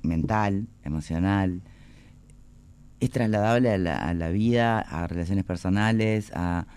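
A man's voice: low voice, unhurried, darkish tone, clean tone. A man hosting an interview, asking thoughtful, probing questions in a casual way. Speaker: interview host.

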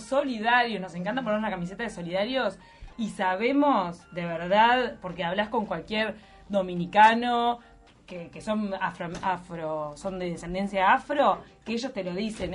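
A woman's voice: medium pitch, moderate pace, soft background music. A woman with a Uruguayan accent, telling stories animatedly in a casual panel discussion. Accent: Uruguayan Spanish. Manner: indignant, animated storytelling.

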